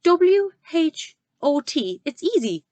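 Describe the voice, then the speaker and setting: A woman doing a squeaky nervous little schoolboy. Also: sing-song, slow pace, darkish tone, dry recording, heavily acted. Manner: high, eager and squealing. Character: cheeky, nervous young schoolboy.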